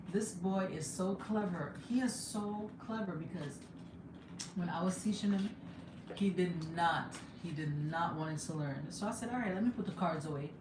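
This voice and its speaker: A young woman with a Jamaican accent talks casually to her stream viewers, her voice sitting a little low. She laughs easily and sometimes jumps into high, excited exclamations. The sound is echoey, like a bare room at home, with clear background noise underneath. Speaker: livestreamer and mother. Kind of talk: casual live chat.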